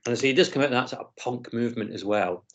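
A man's voice: mid-range voice, fast talker. A man teaching an online class, leading a discussion with many ums and restarts. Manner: hesitant, frequent ums and restarts. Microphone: video call. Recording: slightly dull video call audio.

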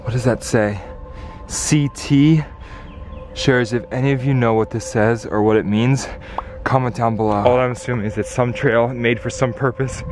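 A man's voice: medium pitch, fairly even intonation, moderate pace. Young man, vlogger, outdoors in woods, handheld camera, breathless, urgent, music underneath. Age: young adult. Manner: breathless, urgent, full of gasps and exclamations. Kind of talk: excited vlog narration. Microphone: handheld camera at arm's length.

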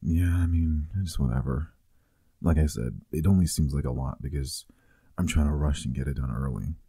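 Male actor as teasing, loving boyfriend for ASMR roleplay; extremely dark, mellow, husky voice, close-miked. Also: very deep voice, moderate pace, no echo, heavily acted. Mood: weary, resigned. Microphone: close microphone.

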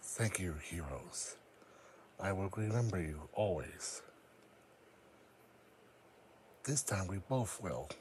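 A man playing a solemn, powerful psychic creature in a fan audio drama, speaking in a grave, deliberate, formal manner. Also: deep voice, animated, a little mellow, slight background noise. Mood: solemn farewell.

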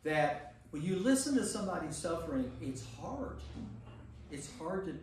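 A fairly high-voiced American man leads a group study as pastor. He is emphatic and repeats key phrases, heard in a very echoey room, away from the mic. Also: sing-song, moderate pace, darkish tone. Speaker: pastor leading a Bible study. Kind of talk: informal teaching with group discussion. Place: indoor church meeting room. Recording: echoey room, distant mic, faint noise.

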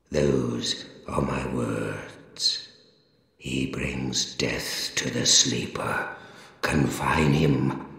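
Cavernous, extremely deep gravelly monster zealot. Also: male voice, fairly bright tone, exaggerated voice. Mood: ominous, solemn. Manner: pompous, accusing, preaching in archaic, measured phrases. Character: huge deformed zealous monster keeper of heaven, stern and menacing. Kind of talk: video game character voice lines, solemn dramatic monologue. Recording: cavernous echo with long reverb tail.

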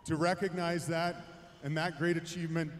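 A man's voice: fairly high pitch, flat delivery, moderate pace. A middle-aged man giving a formal ceremonial presentation speech on a handheld microphone. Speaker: team representative presenting an award. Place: on the ice in an indoor arena. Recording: echoing noisy arena PA.

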